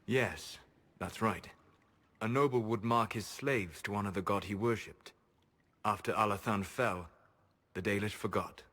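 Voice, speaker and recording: Fantasy game elven mage: grave yet gentle, low register, breathy husk, measured formal delivery, solemn. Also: male voice. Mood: grave, explaining. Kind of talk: dramatic video game acting.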